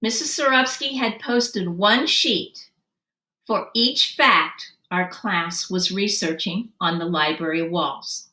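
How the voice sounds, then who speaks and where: A woman reading a children's story aloud, narrating expressively and deliberately and acting out the dialogue. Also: lowish voice. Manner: animated, deliberate, acts out dialogue. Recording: roomy, distant microphone.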